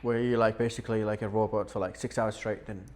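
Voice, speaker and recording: A young man who grew up in Syria, a podcast guest telling his life story in a relaxed, chatty way with frequent fillers. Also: on the low side, fast. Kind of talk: casual podcast interview. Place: indoor podcast setup.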